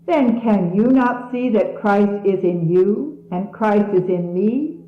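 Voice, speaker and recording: A woman reading religious messages like a sermon, solemn, measured and declamatory, in a 1970s archive recording. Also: mid-range voice, echoey room, dull audio. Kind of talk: scripted scripture reading, sermon-like.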